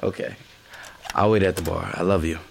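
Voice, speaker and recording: A man's voice: sits low, steady tone, brisk, vocal fry, background noise. An actor playing a mild, reassuring male partner with a slightly hesitant manner in a naturalistic audio drama. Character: gentle, supportive male partner, bashful aspiring writer.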